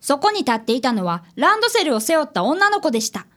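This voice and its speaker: A woman's voice: high voice, very animated, fast talker, fairly bright tone. A woman playing an earnest, polite fifth-grade boy detective apprentice in a radio drama, who also narrates. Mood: earnest narrating.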